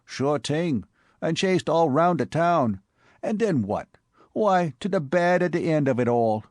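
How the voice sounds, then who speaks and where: A man voicing a brash, streetwise young male crook with a New York Bowery accent and slangy, excitable talk. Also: fairly high pitch, unhurried, no room sound, clean studio sound, voice pushed up. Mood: boastful.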